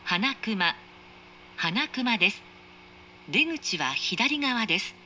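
A woman's voice: fairly high pitch, very animated, takes it slowly, sharp tone. A woman reading scripted train announcements in a polite, formal manner, as heard inside a carriage. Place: train carriage speaker, train ambience behind. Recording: faint train noise, recorded inside carriage.

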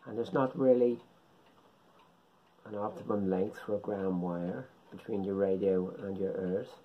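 A middle-aged man giving an informal tutorial in short, halting phrases, speaking at home into a camera microphone. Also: fairly low pitch, fairly even intonation, phone-quality sound. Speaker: amateur radio hobbyist presenter. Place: indoors, living room at home.

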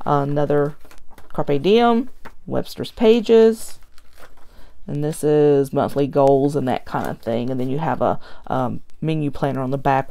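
A woman's voice: sits low, vocal fry, darkish tone. A middle-aged American woman with a scratchy voice, chatting casually and a bit ramblingly as she hosts a show-and-tell vlog. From United States. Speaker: host of a planner flip-through vlog. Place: indoors at a tabletop. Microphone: tablet filming from above the table.

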